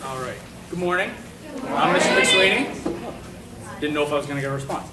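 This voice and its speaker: Male teacher, fairly high voice, earnest prepared speech; distant mic in an echoey hall. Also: over a noisy crowd, heavy background noise. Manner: earnest, measured, with filler ums. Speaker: teacher presenting an award. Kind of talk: prepared award speech at a school assembly. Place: school assembly hall.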